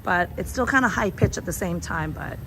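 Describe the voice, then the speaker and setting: Hoarse woman vlogging on a street. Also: fairly low pitch, lively intonation, brisk, fairly bright tone. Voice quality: raspy. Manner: chatty, rambling, greets viewers. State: hoarse from a sore throat. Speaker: travel vlogger. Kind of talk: live-stream walking vlog. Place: outdoors on a town street at night. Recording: noisy outdoor phone stream. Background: street and traffic noise.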